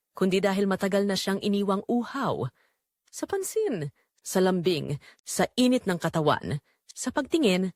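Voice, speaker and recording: Expressive Filipina wife, confiding, acted by a woman. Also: mid-range voice, dry recording, clean studio sound, lightly acted. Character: quiet neglected Filipina wife confessing her hidden longing. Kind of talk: first-person dramatic audio story narration.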